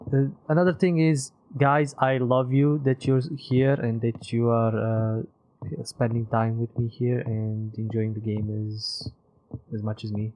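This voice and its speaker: A man with an Eastern European accent giving casual, animated and rambling live commentary as he streams a game. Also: mid-range voice, a little slow, low-quality recording.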